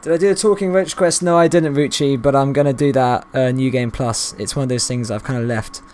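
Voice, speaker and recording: A man's voice: mid-range voice, fairly even intonation, fairly quick, a little mellow. A man giving casual, chatty live commentary on a video game, reacting aloud and talking to his viewers. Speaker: streamer. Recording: game music behind the voice. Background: video game music and sound.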